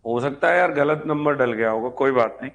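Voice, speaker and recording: A man's voice: medium pitch, a little fast, vocal fry. A man teaching an online class, repeating points, quizzing his students and chatting with them. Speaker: teacher of an online history exam-prep class. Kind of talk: live lecture with chatty asides to students.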